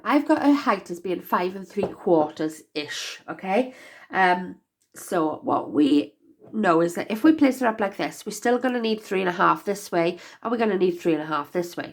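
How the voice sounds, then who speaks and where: A young woman talks through a craft project in a loose, chatty way, full of "um" and "like". Her voice sounds stuffy and nasal from a cold. She keeps a relaxed, unscripted flow as she thinks out loud. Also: on the low side. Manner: chatty, rambling with many fillers. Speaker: crafter demonstrating box making. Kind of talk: casual craft-along chat.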